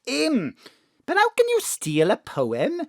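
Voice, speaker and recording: A flirty middle-aged Welsh mam in a comic audio drama. She is gossipy and muddle-headed, with a slow, theatrical lilt that swoops up and down in a dry close sound. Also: sits high, wildly swooping pitch, dry recording, exaggerated voice. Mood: gossipy, fussing.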